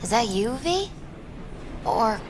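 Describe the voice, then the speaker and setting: A woman acting as an earnest young tarot reader in a video game, speaking in a measured, solemn, mystical manner. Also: a little high, sing-song, background noise. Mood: gentle concern.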